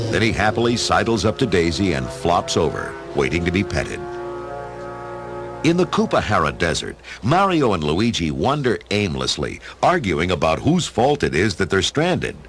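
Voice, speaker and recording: Low-pitched, animated man narrating. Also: moderate pace. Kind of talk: dramatic scripted narration for a radio play. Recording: music behind the voice.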